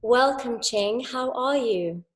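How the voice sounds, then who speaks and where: A woman's voice: on the high side, takes it slowly, faint music behind. A woman hosting an online interview, opening with a scripted introduction and asking friendly questions. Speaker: interview host.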